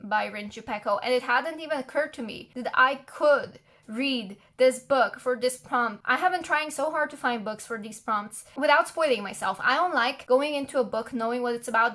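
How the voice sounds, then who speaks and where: A woman's voice: on the high side, a bit bright. A young woman from Slovenia, not a native English speaker, talking casually and candidly to camera in a book vlog. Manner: chatty, candid, looks down at a list. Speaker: book vlogger.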